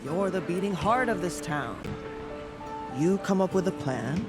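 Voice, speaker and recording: A man's voice: high voice, lively intonation, measured pace, over loud music. An actor playing an earnest, encouraging, optimistic townsman in a fantasy video game. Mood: hopeful, enthusiastic. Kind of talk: video game cutscene acting.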